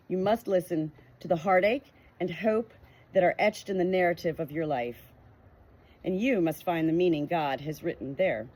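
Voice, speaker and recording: A woman with a somewhat low, breathy voice reads a book aloud. Her delivery is earnest and emphatic. Now and then she steps out of the text to share casual thoughts of her own. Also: rough recording. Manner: earnest, emphatic reading that breaks into chatty asides. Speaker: audiobook reader. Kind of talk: reading a book aloud with personal asides.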